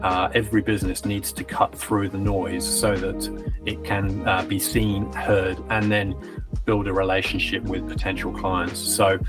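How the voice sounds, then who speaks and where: A man with a British accent softened by years in New Zealand, giving a client testimonial in a reflective, hesitant way, with frequent ums and restarts. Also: low-pitched, level tone, unhurried, loud music behind the voice. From Britain. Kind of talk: conversational testimonial interview.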